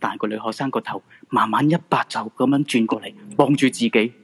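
A man's voice: mid-range voice, steady tone, fairly quick, husky. A man with a Hong Kong Cantonese accent narrating a scripted ghost-story radio drama. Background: background music.

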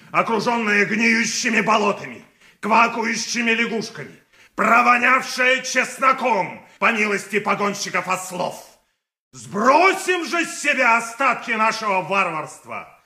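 A pompous, indignant public orator in an old radio play. The voice is high and extremely sharp, declaiming with an echo around it. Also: male voice, heavily acted. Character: pompous, indignant civic orator railing against backwardness.

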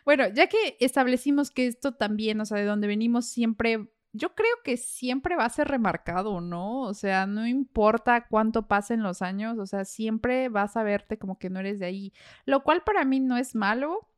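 A Mexican woman hosts a podcast in a relaxed, colloquial style. Her voice sits fairly high and sounds clean and smooth. She talks like an animated storyteller among friends. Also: normal speed. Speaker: podcast host. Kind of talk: casual chat.

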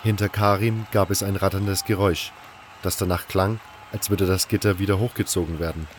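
A man's voice: low-pitched, fairly even intonation, a little fast, slight background noise. A man reading scripted first-person fantasy narration as an audiobook narrator.

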